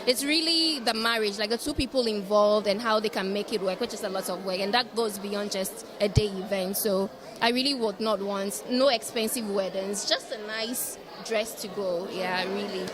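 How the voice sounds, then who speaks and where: A woman's voice: medium pitch, normal speed, few pauses, noisy background. A woman from Ghana answering a street interviewer's questions, thinking aloud and repeating herself as she explains.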